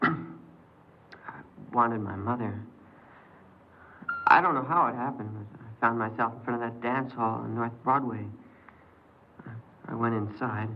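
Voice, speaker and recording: A man's voice: medium pitch, a little hiss or hum, lightly acted. A man playing a hesitant, frightened teenage runaway boy. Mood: subdued, halting. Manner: hesitant, halting, stammering. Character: frightened, remorseful fifteen-year-old runaway boy confessing a robbery. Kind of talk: 1950s radio crime drama acting. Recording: dull old 1950s radio recording.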